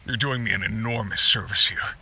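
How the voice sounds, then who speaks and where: A man's voice: fairly low pitch, fairly even intonation, fairly quick, pushed and bright, slight background noise. A man playing a worried, friendly miner who coaxes the listener into taking on a job, in a game cutscene. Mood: coaxing. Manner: chatty and coaxing. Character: worried male miner giving a commission, friendly and persuasive.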